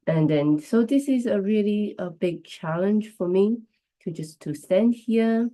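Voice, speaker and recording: A woman's voice: mid-range voice, lively intonation, a little slow. A woman whose first language is Chinese, hosting a live stream while she has the flu, chatting casually and greeting viewers as they join. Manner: chatty, warm greetings to viewers, restarts phrases. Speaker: livestream host.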